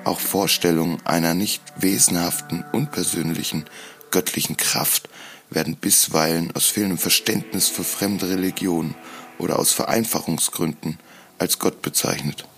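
A man reading scripted, dramatic first-person narration in a brooding, solemn and deliberate manner. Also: low-pitched, steady tone, fairly bright tone, soft background music.

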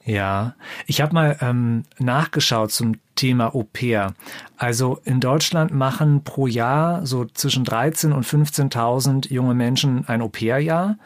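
A man leading an interview in German, speaking in a measured, informative way. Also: medium pitch. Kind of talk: interview conversation.

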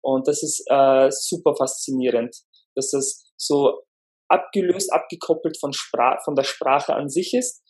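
A man from Lower Austria, a speech therapist talking as a podcast guest, pausing often with fillers; his is Turkish. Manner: hesitant, many fillers, relaxed. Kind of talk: conversational interview.

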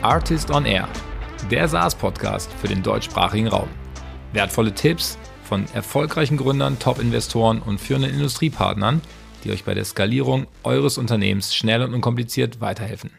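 Gravelly male announcer over music. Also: mid-range voice, moderate pace. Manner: polished promo delivery. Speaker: show announcer. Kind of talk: scripted announcer read.

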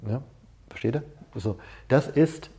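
A man's voice: mid-range voice, a little slow, mellow. A man leading a seminar in an informal, conversational way, often checking in with short tag questions. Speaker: seminar leader. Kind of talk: informal seminar talk with personal anecdotes.

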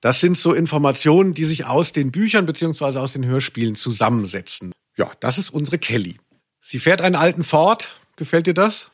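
A man's voice: on the high side, expressive, a little fast. A man co-hosting a podcast, chatting casually about audio dramas in a playful, mock-serious way. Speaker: podcast co-host.

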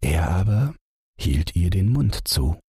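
A man's voice: low-pitched, big pitch swings, slow pace, mellow, husky, dry recording, clean studio sound. A man narrating a bedtime fairy tale as an audiobook reader, in a scripted storytelling style.

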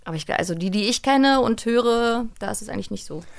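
A woman's voice: a little high, expressive, fairly quick, vocal fry. A woman talking casually as an interview guest. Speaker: guest, voice actress and director.

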